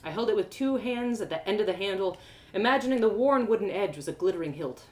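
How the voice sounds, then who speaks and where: A woman narrating a first-person fantasy tale as a storyteller, expressive and playful. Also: mid-range voice, fast.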